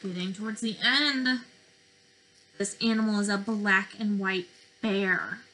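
A young adult woman reading interactively to small children as a teacher, expressive and sing-song, with questions and pauses. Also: mid-range voice, low-quality recording. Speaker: speech-language pathologist presenting to preschoolers. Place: bedroom, indoors.

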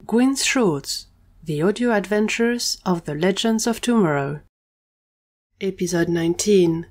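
A woman's voice: medium pitch, animated, a little slow, darkish tone. A woman narrating a scripted audio drama.

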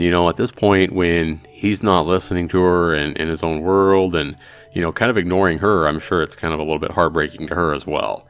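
A man's voice: sits low, a bit bright. A man hosting a let's play, giving casual, musing and sentimental commentary on a visual novel.

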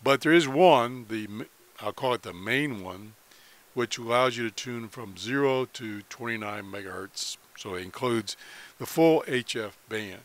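A man with a mid-range voice talks through an on-screen demonstration in a casual, unscripted way. He sounds like a hobbyist explaining things as he goes. His phrasing is halting, with pauses partway through sentences. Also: medium pitch. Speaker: hobbyist presenter of a shortwave radio tutorial.

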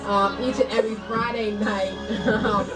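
A young American woman chats and makes announcements as a radio host. She sits at a medium pitch for a woman. The sound is slightly distant, with very loud music underneath. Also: fairly even intonation, normal speed. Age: young adult. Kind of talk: casual chat and show announcements. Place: small indoor studio. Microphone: microphone on table stand, picked up from a distance. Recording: off-mic, loud music behind.

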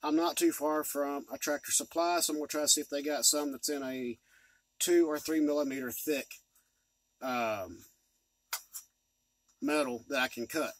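Folksy man, higher-pitched, rambling casually. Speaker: model train hobbyist and video host. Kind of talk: casual vlog update. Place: inside a truck cab. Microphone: phone or camera at arm's length.

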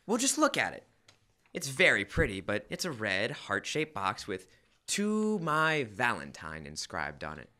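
Young man as bright-voiced, animated radio-comedy husband. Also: medium pitch, unhurried, exaggerated voice. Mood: earnest. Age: teens to young adult. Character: earnest, fussy, hapless suburban husband. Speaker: student actor. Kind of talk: old-time radio comedy acting. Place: school library, indoors, live stage reading. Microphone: stand microphone.

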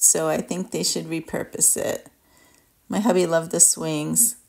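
A woman narrates a travel vlog as a casual, personal review. Her voice sits fairly low for a woman and has a clear creaky fry running through it. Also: a little mellow. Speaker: travel vlogger. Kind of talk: casual voice-over review of a cruise trip.

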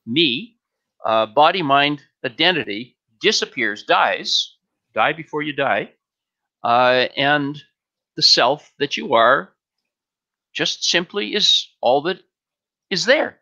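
An older man explaining as a spiritual teacher, in measured, halting phrases with emphatic short statements, on a headset. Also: on the high side, pushed and bright. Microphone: headset on a video call. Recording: slightly dull online video-call audio.

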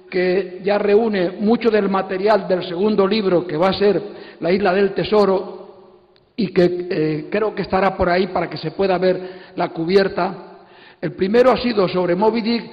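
A man. He argues his points emphatically and informally into a handheld mic. The recording is slightly dull, with a long hall echo. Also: high voice. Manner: emphatic, opinionated. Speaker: invited panel speaker, book editor. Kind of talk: informal conference talk. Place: stage in an auditorium. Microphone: handheld microphone. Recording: muffled, long hall reverb.

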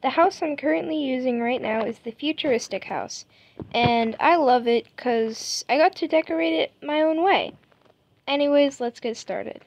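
A woman's voice: high-pitched, normal speed, a little mellow. A woman giving a chatty, rambling tour of her game house, narrating over her screen as a gaming YouTuber. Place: home setup.

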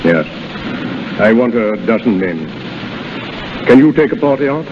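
A man playing a brisk, authoritative army colonel in a 1930s radio drama. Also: mid-range voice, very animated. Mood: brisk, commanding. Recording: muffled, noisy 1930s radio, long reverb.

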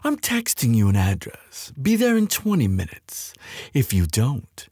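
A man playing a gloating, menacing villain in an audio roleplay, taunting with mock politeness in a husky voice. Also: medium pitch, huge pitch swings, unhurried, dark tone, no echo, clean studio sound, heavily acted. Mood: smug, menacing. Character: gloating, menacing male villain making threats by phone.

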